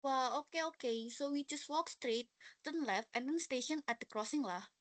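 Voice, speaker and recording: A lost, polite Singaporean student, played by a young woman asks for directions with Singlish particles. The voice is fairly high, with a dry, close sound. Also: normal speed, a bit bright, dry recording. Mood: checking. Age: young adult. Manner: ends phrases with Singlish particles. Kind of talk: student classroom role-play.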